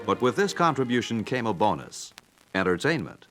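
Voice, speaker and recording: A man's voice: fairly low pitch, sing-song, moderate pace. A man delivering scripted documentary narration. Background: background music.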